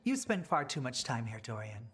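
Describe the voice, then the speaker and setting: A woman's voice: extremely low pitch, big pitch swings, normal speed, breathy edge, heavily acted. A woman playing a direct, no-nonsense leader of a secret resistance in a fantasy game. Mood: concerned, businesslike. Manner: direct, businesslike. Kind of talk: game dialogue.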